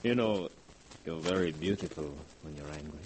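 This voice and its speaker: An actor playing a suave, smug, mocking seducer in 1940s radio drama style. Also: male voice, a bass voice, normal speed, darkish tone. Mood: suave, amused. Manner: silky, mocking, self-assured. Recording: noisy vintage 1940s radio recording.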